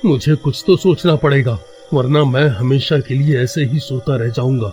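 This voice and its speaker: A man's voice: medium pitch, normal speed, a little mellow, slight background noise, lightly acted. A man playing a frightened, desperate man trapped in a cursed sleep, in an anxious, dramatic horror-story delivery. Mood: desperate, worried.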